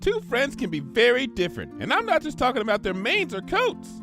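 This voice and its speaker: A man's voice: very high voice, wildly swooping pitch, fairly quick, over music, pitched above the performer's own voice, exaggerated voice. A man voicing a hyperactive, cheerful young female cartoon pony with a bubbly, gushing, rambling manner. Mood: earnest, chirpy.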